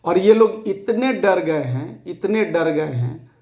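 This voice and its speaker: A man with a hoarse, scratchy throat giving an impassioned, defiant address to fellow depositors, repeating phrases for emphasis. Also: sits high, animated, slow, a little mellow, echoey room. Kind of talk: impassioned rallying address to followers.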